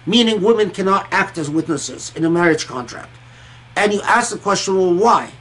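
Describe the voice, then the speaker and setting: A man from Egypt and Kuwait giving an informal scholarly commentary on scripture, thoughtful and halting, often repeating words and pausing. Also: high-pitched, animated, a bit bright.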